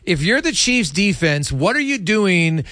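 A man with a bright, forceful voice, pitched a little high, hosts a sports interview. He asks his questions conversationally, and the sound is dry and close. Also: very animated, unhurried, no echo. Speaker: sports radio host, interviewer.

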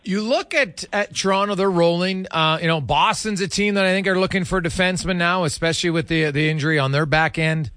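A man's voice: sits high, fairly quick, few pauses, a bit bright, dry recording. A man hosting a sports radio show, asking questions in a conversational interview style.

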